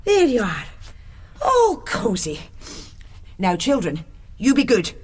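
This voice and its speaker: An actress playing a bossy, devoted schoolmaster's wife with a Scottish accent in a period radio drama, nagging and talking over others. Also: female voice, fairly high pitch, huge pitch swings, slow, a little mellow, a little hiss or hum, heavily acted. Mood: bossy, fussing.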